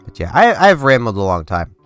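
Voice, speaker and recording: A man's voice: mid-range voice, big pitch swings, fairly quick, a bit bright, clear, resonant tone, dry recording. A congested young man hosting a gaming channel, rambling casually over gameplay with lots of fillers and restarts. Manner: rambling, filler-heavy, self-interrupting. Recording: dry close recording with faint game music.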